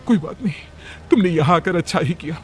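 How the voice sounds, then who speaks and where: A man's voice: fairly high pitch, expressive, fairly quick, a little hiss or hum, voice pushed up, natural voice. A man voicing a worried, caring older village aunt who fusses and questions in a storybook tale. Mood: reassuring. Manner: concerned, questioning, fussing. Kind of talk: acted storybook dialogue.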